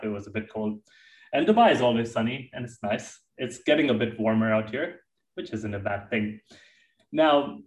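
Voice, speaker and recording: A male podcast host, Hindi his first language, leans into long, eager questions for his guest. His voice sits in the middle of the male range. It sounds distant and roomy, as if he sits back from the mic in a live room. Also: mid-range voice. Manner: enthusiastic, long winding questions. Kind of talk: interview podcast. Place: Dubai.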